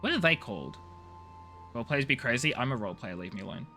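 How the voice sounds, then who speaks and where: Very bright-voiced man, lively streamer. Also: mid-range voice, fast talker, soft background music. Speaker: video game streamer. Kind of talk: casual live-stream chat with viewers.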